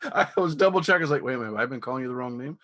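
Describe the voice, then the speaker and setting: A man's voice: medium pitch, lively intonation, very fast, fairly bright tone. A man hosting a podcast in an informal, effusive, conversational way. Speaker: podcast host. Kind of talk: casual interview chat.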